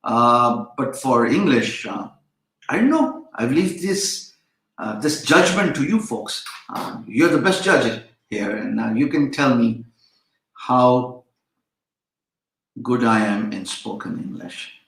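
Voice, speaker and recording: A man's voice: mid-range voice, lively intonation, slow pace, fairly bright tone. Chatty man lecturing in an echoey room. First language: Urdu. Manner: rambling, chatty, many fillers and restarts. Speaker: online freelancing instructor. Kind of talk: casual live-stream lecture.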